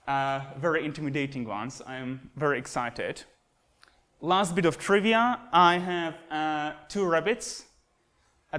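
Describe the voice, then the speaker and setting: A young Slovak man with a fairly high voice lecturing in a classroom. He talks in an easygoing, self-deprecating way, filling pauses with "uh" and "um" while pointing at slides. The sound comes from a camera at the back of the room. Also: a bit bright. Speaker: guest lecturer, astronomy postdoc. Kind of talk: university lecture. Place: classroom with projector and computers.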